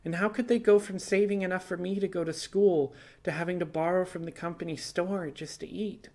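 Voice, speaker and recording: A man's voice: high voice, fairly even intonation, brisk, darkish tone, pitched above the performer's own voice. A man voicing a young female rabbit fugitive in audio fiction, resigned and apologetic, talking in a plainspoken, hesitant, confessional way. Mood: troubled. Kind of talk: audio fiction character dialogue.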